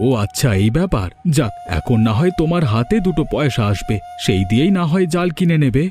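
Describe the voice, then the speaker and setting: A fretful village husband, played by a man, talking quickly in a mellow voice. He is familiar and inquisitive, a henpecked cartoon character with music faintly behind him. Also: on the high side, expressive, natural voice. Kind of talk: Bengali cartoon story dialogue.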